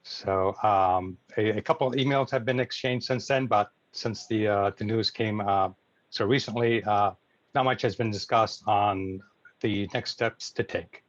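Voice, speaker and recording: An American man moderating an informal club meeting on a video call, making announcements in a hesitant, halting way. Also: lowish voice, steady tone, dry recording. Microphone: video call microphone. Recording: muffled video call audio.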